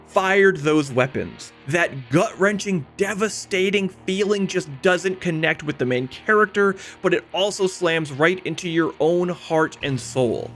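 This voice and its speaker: A man's voice: fairly high pitch, a bit bright. A man narrating a scripted video essay as its host, with emphatic, dramatic phrasing and conversational asides. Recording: music behind the voice. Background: background music.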